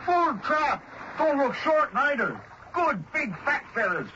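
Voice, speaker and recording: An actor in an old-time radio drama playing a bossy, folksy fishing boat skipper who barks out orders. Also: male voice, very high voice, big pitch swings, takes it slowly, sharp tone, muffled recording, slight background noise, exaggerated voice. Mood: excited, scolding.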